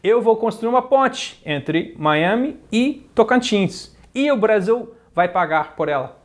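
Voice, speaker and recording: Brief: a man as a boastful American impostor, cocky, a touch high-pitched, crude comedy sketch. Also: expressive, measured pace. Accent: American English. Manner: smug, brags, crude innuendo. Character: boastful fake Trump, later a scheming rival gringo.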